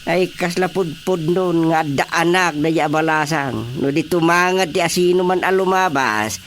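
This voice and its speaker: A man playing a chatty, grumbling older father in a radio comedy drama, with an Ilocano accent and an animated, mocking way of telling stories. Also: fairly high pitch, normal speed, slight background noise.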